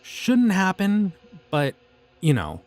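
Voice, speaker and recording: A man voicing a friendly but creepy young occult shopkeeper in an audio roleplay, talking in a casual, easygoing way about dark rituals. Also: high voice, huge pitch swings, slow pace, full character voice. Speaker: voice actor.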